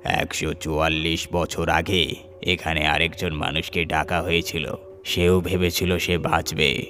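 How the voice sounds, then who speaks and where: A man's voice: extremely low pitch, little pitch movement, unhurried, sharp tone, faint music behind, voice pushed down, exaggerated voice. A man voicing a grave old ascetic who makes foreboding pronouncements in a horror story. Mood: grave warning.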